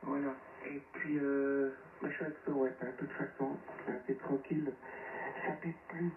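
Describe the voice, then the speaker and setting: A man's voice: medium pitch, flat delivery, normal speed, echoey room, far from the mic. Man on noisy, narrowband shortwave radio. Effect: radio transmission. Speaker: amateur radio operator, net participant. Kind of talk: informal ham radio station report.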